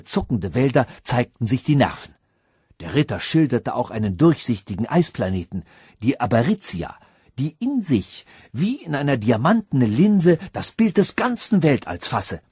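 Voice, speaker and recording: Male audiobook narrator, husky tone, dry close sound, dramatic fairy-tale telling. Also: medium pitch, sing-song, moderate pace, darkish tone, dry recording.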